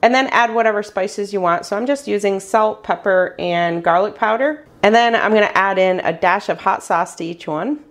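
A woman's voice: medium pitch, normal speed, few pauses. A middle-aged woman, chatting step by step through a meal prep tutorial as host of her cooking channel. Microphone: voice-over while hands cook on camera.

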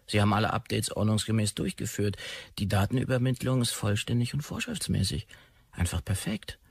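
An actor playing a dismissive, officious implant technician in a sci-fi audio drama, patronising and slightly hesitant. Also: male voice, fairly low pitch, lively intonation, fairly quick, gravelly, breathy edge, exaggerated voice. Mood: condescending.

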